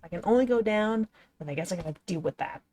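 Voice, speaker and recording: A woman's voice: medium pitch, lively intonation, quick pace, a loud crowd. A young woman playing a game and commenting casually, giggly and sarcastic, swearing freely. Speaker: let's play host.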